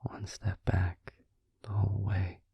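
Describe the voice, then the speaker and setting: A man playing a gentle, supportive vampire boyfriend in an intimate ASMR roleplay, in a breathy, soothing whisper with pauses. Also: low-pitched, flat delivery, no room sound, dull audio, exaggerated voice. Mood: tender, reassuring. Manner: soft, soothing, hesitant pauses.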